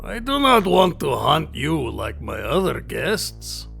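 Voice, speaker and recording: A sinister gentleman hunter for an audio drama, with a gravelly, sharply projected voice. His pitch sits fairly high and swoops theatrically as he delivers courtly, condescending menace. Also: big pitch swings, slow pace, soft background music, exaggerated voice. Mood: suavely menacing. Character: genteel, sinister male villain, sadistic aristocratic big-game hunter.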